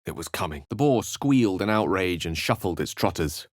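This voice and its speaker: An actor playing a courteous, erudite wizard adventurer in a video game, with eloquent, measured, theatrical phrasing and a wry, earnest temper. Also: male voice, low voice, lively intonation, dry recording, clean studio sound. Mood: grave storytelling. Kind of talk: video game character lines and narration.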